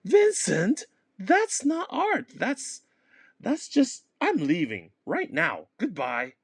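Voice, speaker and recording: A man's voice: very high-pitched, huge pitch swings, slow pace, slightly rough, no room sound, studio quality, voice pushed up, heavily acted. Guy doing a fed up painter friend in a comedy play, exasperated, voice climbs when protesting. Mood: appalled. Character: exasperated male artist friend, plain-spoken and fed up.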